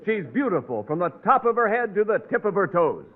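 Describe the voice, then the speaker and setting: A man's voice: high voice, big pitch swings, fairly quick. A man playing a stuffy, protective, indignant husband in 1940s radio comedy, speaking formally. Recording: tinny old radio broadcast.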